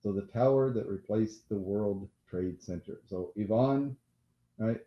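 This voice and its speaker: A man hosting a casual live-stream quiz game who has a loud voice, repeats each question and apologizes during asides. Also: lowish voice, measured pace. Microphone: laptop mic. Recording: echoey room, dull lo-fi laptop audio.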